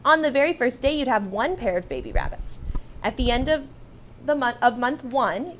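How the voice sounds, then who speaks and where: A woman reading a children's storybook aloud as first-person narration, expressive and emphatic. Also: a little high, a little hiss or hum. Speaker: storybook reader.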